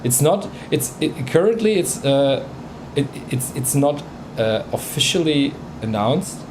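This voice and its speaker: A man's voice: a little high, big pitch swings, unhurried, noisy background. A man whose first language is Bosnian, hosting a music livestream in casual chat, hesitant and full of fillers and restarts. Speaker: livestream host reviewing music tracks.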